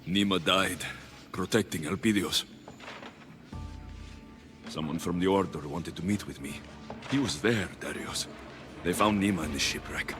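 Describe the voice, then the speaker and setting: An actor playing a brooding, defiant ancient Greek warrior in a dramatic video game, with intense, weighty delivery. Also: male voice, sits low, a little slow, long pauses, a bit bright, soft background music. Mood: grieving. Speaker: lead character in a video game.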